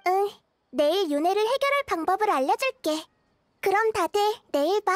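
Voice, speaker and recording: A woman playing a small, wise child deity in a game, speaking in a calm, measured, thoughtful way. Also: very high voice, dry recording. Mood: calm, explaining. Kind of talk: scripted game character dialogue.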